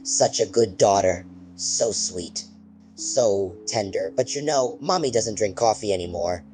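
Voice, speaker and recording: A low, dark, mocking croon from a slimy monster posing as someone's mother, as a man puts it on. The voice sounds set back from the mic, with soft music underneath. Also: a little slow, lightly acted, rough recording. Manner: mocking, sinister, cooing.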